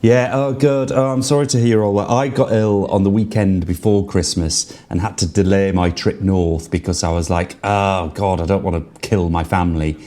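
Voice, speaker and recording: A man's voice: deep voice, steady tone, moderate pace, few pauses, warm tone. A man co-hosting a podcast, chatting enthusiastically and laughing often. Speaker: podcast co-host. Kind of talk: casual chat. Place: remote call from abroad.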